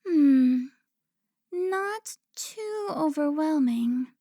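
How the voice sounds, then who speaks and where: Woman playing a high-voiced, taunting seductive vampire. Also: expressive, warm tone, dry recording, studio quality, heavily acted. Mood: mock-refined, teasing. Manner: taunting, drawn-out, theatrical. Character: teasing, seductive female vampire informant, haughty and playful. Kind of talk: cinematic audio roleplay acting.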